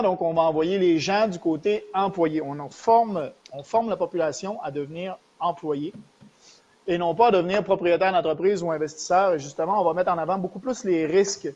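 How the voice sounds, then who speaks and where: A Québécois man with a notably high voice hosts a live stream. He explains money matters and talks straight to the viewers. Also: a little mellow, highs cut off. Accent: Québécois French. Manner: explanatory, addresses viewers directly, thanks them for likes. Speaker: host of a live stream show.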